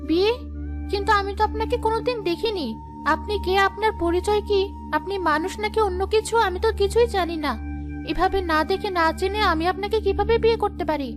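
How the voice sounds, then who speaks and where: Woman voicing a very high-pitched young cartoon princess. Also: fairly quick, over music. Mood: unsure, thoughtful. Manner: polite, questioning, earnest. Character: young exiled princess, gentle, earnest and grateful. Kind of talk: animated fairy-tale dialogue.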